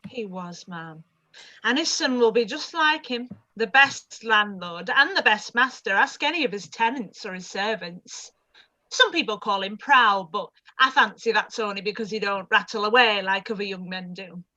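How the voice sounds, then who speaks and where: A woman's voice: mid-range voice, lively intonation, moderate pace, lo-fi sound. A woman voicing a chatty, old-fashioned housekeeper who is proud of her master, in a live-read radio play. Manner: chatty, warm, old-fashioned servant speech.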